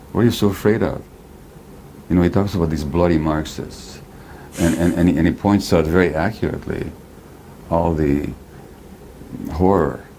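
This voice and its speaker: An older man with a very deep, dark and mellow voice, talking in a measured, deliberate way. Faint noise sits under the played-back interview clip. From Hungary. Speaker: therapist, interviewee.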